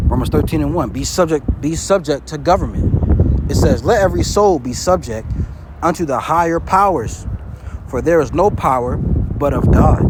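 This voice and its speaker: A man giving a casual bible teaching outdoors, constantly checking in with "all right" and "you know". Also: a little high. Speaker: street preacher. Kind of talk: informal bible teaching video. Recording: loud wind and traffic noise.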